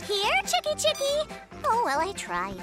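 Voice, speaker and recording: Tiny, extremely high voice, very lively intonation, sweet young girl fox, encouraging cartoon delivery. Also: a little slow, loud music behind the voice, exaggerated voice. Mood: urgent, coaxing. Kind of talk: animated children's cartoon acting.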